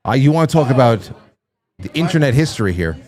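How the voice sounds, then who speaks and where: A man's voice: medium pitch, very animated, fast, darkish tone. A man hosting a casual live stream from a busy studio, rambling and restarting his sentences as guests walk in and out. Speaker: show host.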